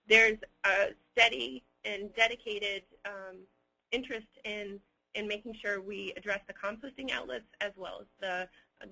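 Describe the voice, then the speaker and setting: A man answering audience questions as a webinar presenter, unscripted, hesitant and halting as he picks his words with care. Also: high voice, gravelly, pushed and bright, rough recording.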